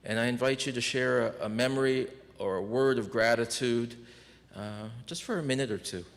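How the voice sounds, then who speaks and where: A man leading a memorial service as minister, gently inviting people to share, with small restarts. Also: mid-range voice, moderate pace. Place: church sanctuary. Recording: church with long reverb.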